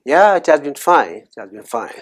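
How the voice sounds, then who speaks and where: A middle-aged man from Cameroon, a guest in an interview, talking in a measured, soft-spoken way with frequent hesitations. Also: mid-range voice, big pitch swings. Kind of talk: interview conversation.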